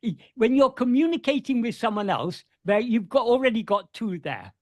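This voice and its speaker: A man explains a spiritual teaching over a video call in an earnest, searching way, often repeating words before going on. His voice sits high and swings widely in pitch. The sound is dry and close. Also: very animated, brisk, darkish tone, no room sound. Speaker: spiritual teacher answering questions.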